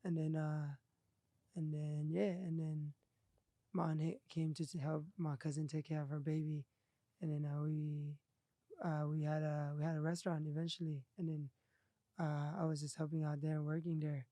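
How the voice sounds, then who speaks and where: A man from Thailand with a Thai accent, a guest telling his story with halting, hesitant speech full of fillers. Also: on the high side, little pitch movement, warm tone, no echo. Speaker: guest, clothing designer. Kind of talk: casual interview storytelling.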